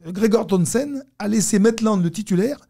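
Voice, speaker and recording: High, creaky male sports pundit. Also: lively intonation, normal speed, warm tone. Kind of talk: radio sports discussion.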